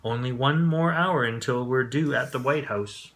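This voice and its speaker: Authoritative, encouraging schoolmaster in a storybook, acted by a man, mid pitch, forceful delivery. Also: close to an everyday voice. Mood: brisk, instructing. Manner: brisk, encouraging, commanding. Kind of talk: children's storybook read aloud.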